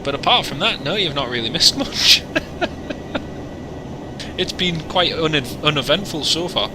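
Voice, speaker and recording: A man's voice: fairly high pitch, animated, unhurried. A man chatting casually on a flight sim livestream, joking and rambling between asides. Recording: noisy, with engine hum.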